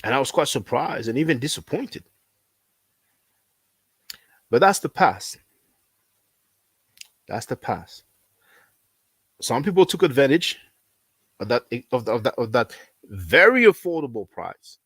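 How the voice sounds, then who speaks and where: A man who is not a native English speaker, talking casually as a language teacher, repeating himself often and filling pauses with "you know.". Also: medium pitch, big pitch swings, a bit bright, breathy edge, no room sound. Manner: repetitive, conversational, frequent fillers. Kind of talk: casual promotional talk.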